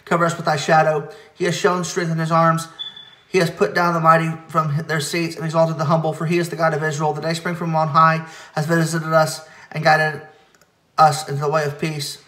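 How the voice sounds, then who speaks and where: A man leading a church service, chanting hymn verses in a quick, steady recitation with occasional stumbles and self-corrections. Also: on the high side, reverberant.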